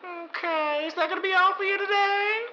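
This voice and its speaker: A man's voice: very high voice, fairly even intonation, a little fast, bright, forceful tone, highs cut off, slight background noise, voice pushed up, exaggerated voice. A man voicing a chirpy grocery store cashier in a 1930s radio play. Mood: chirpy, businesslike. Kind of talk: radio play character acting.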